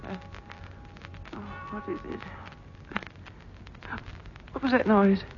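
A woman's voice: mid-range voice, steady tone, takes it slowly, lightly acted. An actress playing a frightened woman just woken. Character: frightened young woman waking from a nightmare. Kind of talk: 1940s radio drama acting. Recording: muffled archive radio from a distance, loud music.

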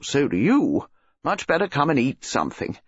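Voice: medium pitch, wildly swooping pitch, moderate pace, husky, no echo, muffled recording. A reader voicing Colonel Bantry, a bluff older English colonel who greets his guests heartily.

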